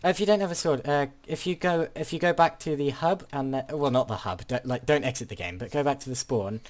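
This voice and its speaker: A man's voice: mid-range voice, quick pace. A teenage boy, commenting on his gameplay with a lot of hesitation and polite, old-fashioned turns of phrase. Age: teens. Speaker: gaming channel host.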